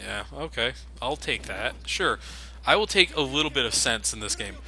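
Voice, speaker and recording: A man's voice: medium pitch, expressive, moderate pace, rough recording. A man giving casual, sarcastic commentary over a video game, joking about its flaws. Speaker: let's play commentator.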